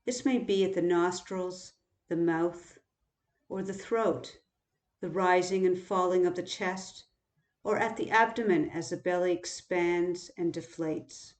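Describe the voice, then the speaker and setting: Slow-paced woman guiding meditation, roomy sound. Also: on the low side. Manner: calm, unhurried, instructional, with long pauses. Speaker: meditation teacher. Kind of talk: guided body scan meditation.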